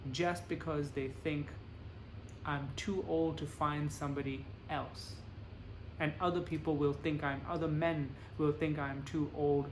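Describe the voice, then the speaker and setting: Male lecturer, plain measured delivery, faint room noise behind. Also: fairly high pitch. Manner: plain, measured explaining with examples. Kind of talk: bilingual lecture with interpreter.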